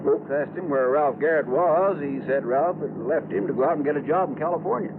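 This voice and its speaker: A man acting a folksy, talkative small-town Westerner in a 1950s radio drama, with an unhurried drawl. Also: a little high, natural voice. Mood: folksy, matter-of-fact. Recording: muffled narrowband old radio, loud noise.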